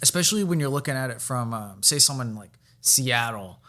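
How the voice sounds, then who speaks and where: A man's voice: medium pitch, animated, fairly quick, sharp tone. A man hosting a casual podcast, chiming in with short agreements and questions.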